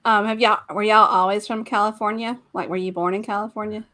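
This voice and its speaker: A woman's voice: medium pitch, steady tone, fairly quick, pushed and bright. A woman hosting a live video interview, asking friendly questions and laughing often. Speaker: host.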